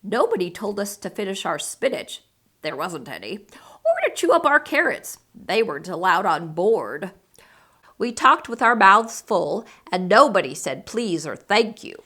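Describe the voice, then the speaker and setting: A woman reading a children's story aloud with animated, dramatic expression. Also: medium pitch, unhurried. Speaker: storybook reader.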